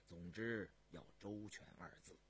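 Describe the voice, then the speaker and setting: Male narrator as old emperor, deep hushed voice, breathy, deliberate, imperious, storybook character. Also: slight background noise. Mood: weary. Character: weary ageing Chinese emperor, measured, commanding, sometimes testy.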